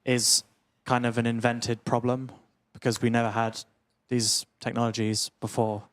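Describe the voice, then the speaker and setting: A man in an audience asking an unscripted, thoughtful question, pausing between phrases. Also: medium pitch, little pitch movement. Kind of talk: unscripted question at a public talk.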